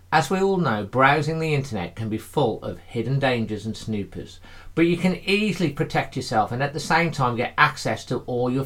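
A man's voice: mid-range voice, a bit bright. Guy reading out a sponsor ad, salesy, mid voice. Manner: scripted, promotional. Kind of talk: read ad.

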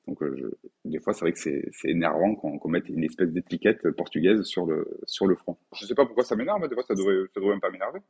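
A man's voice: low voice, fast talker, darkish tone, husky, dull audio. A man in his forties from France, talking casually as an interview guest, thoughtful and hesitant with many fillers and restarts. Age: 40s. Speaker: guest, painter.

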